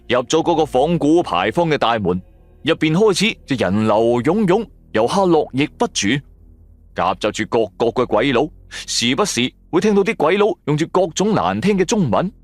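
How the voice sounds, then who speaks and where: Cantonese guy narrating a spooky radio serial, pitch swings a lot, dry close sound w some music under. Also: no room sound. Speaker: audio drama narrator. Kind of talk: scripted first-person narration of a supernatural radio serial. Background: background music.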